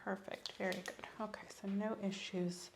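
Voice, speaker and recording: Soft-spoken asmr woman, noisy recording. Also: mid-range voice, flat delivery, normal speed. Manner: soft-spoken, often dropping to a whisper. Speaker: ASMR creator playing a doctor. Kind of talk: ASMR medical exam roleplay.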